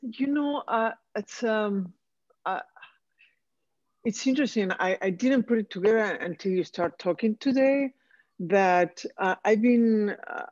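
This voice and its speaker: Argentine woman asking a question on a video call, lots of ums and pauses, dull dry sound. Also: mid-range voice, darkish tone, no room sound. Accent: Argentine Spanish. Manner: hesitant, many fillers and pauses. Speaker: course participant.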